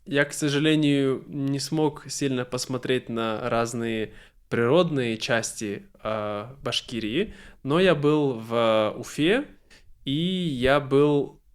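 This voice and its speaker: A man hosting a slow, relaxed conversation, thinking aloud with fillers and laughing often. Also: mid-range voice, clear, resonant tone. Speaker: host.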